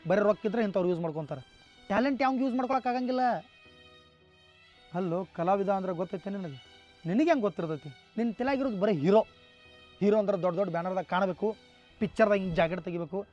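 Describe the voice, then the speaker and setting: A man's voice: sits high, a little mellow, close to an everyday voice. A man playing a stern, caring mentor. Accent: North Karnataka Kannada. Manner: lecturing, firm, earnest. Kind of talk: short film drama. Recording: slightly dull with faint music.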